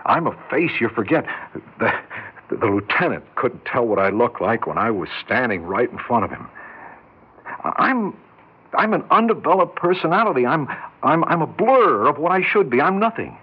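Timid dreamy bachelor, American, halting stammer, husky, very animated pitch, dull vintage radio sound. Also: male voice. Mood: self-pitying. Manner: stammering, halting, repeats words. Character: timid, self-loathing lonely bachelor, eager and dreamy. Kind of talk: 1960s radio drama acting. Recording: dull 1960s radio recording.

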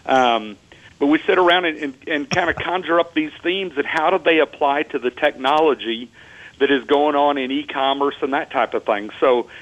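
A man from Texas with a Texan accent, a guest chef chatting on a radio show in a folksy, joking way. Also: a little high. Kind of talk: casual radio chat. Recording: slightly dull lo-fi sound.

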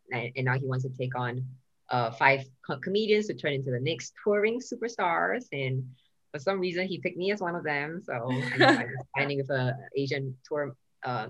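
A woman's voice: very deep voice, highs cut off. A woman from Singapore, a touring stand-up comedian, telling stories in a casual podcast chat. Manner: chatty storyteller, swearing and acting out quotes.

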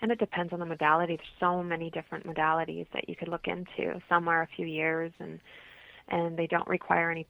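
A woman's voice: fairly low pitch, completely flat delivery, fast talker, vocal fry, dry recording. A woman explaining things informally as a guest in a radio interview, heard in dull lo-fi audio.

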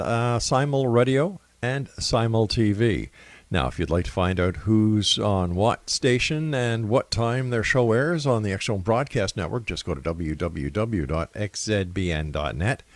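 A man's voice: on the low side, dry recording. A man hosting a late-night radio talk show, opening in announcer style and then interviewing his guest. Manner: announcer-style, rattles off station lists.

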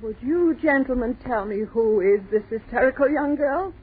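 An actress playing a haughty, sly old aunt who is secretly a cat creature, in a 1940s radio horror drama. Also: female voice, on the high side, expressive, moderate pace, breathy edge. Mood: cold, haughty. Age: older. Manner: haughty, feigning ignorance. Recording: muffled, noisy 1940s radio recording.

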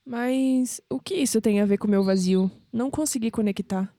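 A woman's voice: fairly high pitch, normal speed, dark tone, no echo, close to an everyday voice. An actress playing a young, skeptical and searching Brazilian woman in an audio drama. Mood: uneasy, searching.

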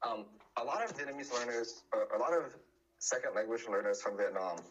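A man's voice: mid-range voice, animated, brisk, lo-fi sound, a little hiss or hum. A man chatting casually as a podcast guest, often restarting his sentences. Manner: hesitant, many restarts and fillers.

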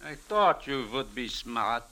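An actor playing a cold, commanding gangster boss with a curt, controlled menace in a 1940s radio drama. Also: male voice, medium pitch, sing-song, slow, bright, forceful tone, exaggerated voice. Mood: impatient, threatening. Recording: crackly vintage radio recording.

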